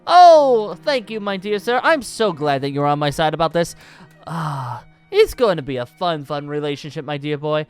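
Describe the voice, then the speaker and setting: A boastful celestial wizard for a Minecraft roleplay. The voice is smooth and wildly animated, full of grand, genteel flourishes and cackling glee. Soft music runs underneath. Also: male voice, a little high, unhurried. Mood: delighted, genteel. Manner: theatrical, flamboyant, cackling, pompous. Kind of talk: improvised Minecraft fantasy roleplay acting.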